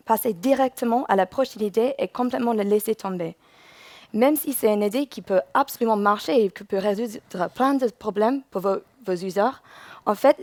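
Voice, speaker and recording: A woman's voice: medium pitch, a little mellow, dry recording. A woman from England, a native English speaker with an English accent, giving a prepared conference presentation as a user researcher.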